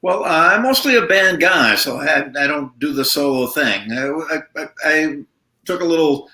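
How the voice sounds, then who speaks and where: A male musician guest in a casual interview, telling stories in a relaxed way with many fillers, over a video call. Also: a little high, moderate pace, echoey room.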